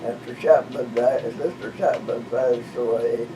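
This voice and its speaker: A man over one hundred years old, originally from western Missouri, talking with a visitor in a slow, halting way and searching for names. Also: mid-range voice, steady tone. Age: 100s. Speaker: interviewee, retired minister. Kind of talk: casual conversation. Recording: distant mic with background noise.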